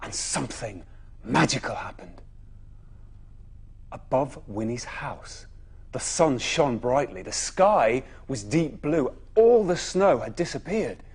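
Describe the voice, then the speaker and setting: A man reading a children's bedtime story expressively, with dramatic pauses and emphatic phrasing. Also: medium pitch, animated, darkish tone, husky.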